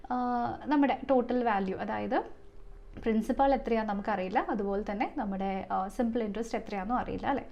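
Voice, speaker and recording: A Malayali woman with a rather high voice teaches in a methodical, step-by-step way. She poses questions and checks in as she goes, mixing in English phrases for the technical parts. The recording is a little dull and lo-fi. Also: vocal fry, mellow. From Kerala, India. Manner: step-by-step, frequent rhetorical questions and check-in tags; switches often into English terms and phrases. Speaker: exam coaching teacher. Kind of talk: explanatory lesson, working through maths problems.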